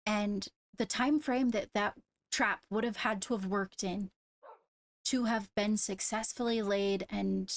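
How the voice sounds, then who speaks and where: A woman playing a guarded young royal in an improvised Minecraft roleplay, speaking in a measured, deliberate way as she reasons aloud. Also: mid-range voice, steady tone, no echo, lightly acted. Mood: serious, deliberating.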